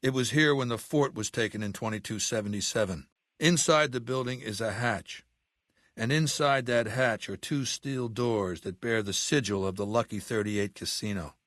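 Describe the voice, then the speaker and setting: An imperious male warlord from a video game, ruthless and learned. His voice sits at a medium pitch and is commanding and articulate, with open contempt for lesser minds. The sound is dry, with no room around it. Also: vocal fry, bright, forceful tone, no echo, heavily acted. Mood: stern, businesslike. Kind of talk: video game character acting.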